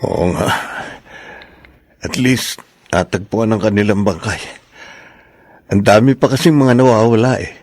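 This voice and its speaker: A humbled husband seeking forgiveness in a Filipino radio drama. His voice is slightly low and husky, with a dry, close sound. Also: unhurried, long pauses, darkish tone, no echo. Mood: somber.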